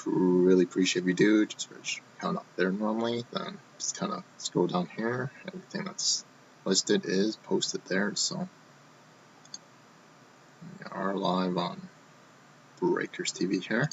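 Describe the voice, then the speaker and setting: A man hosting a live card box break, talking casually while he opens packs, his voice going hoarse. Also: low-pitched, moderate pace, muffled recording, a little hiss or hum. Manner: casual, running commentary while opening packs. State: losing his voice.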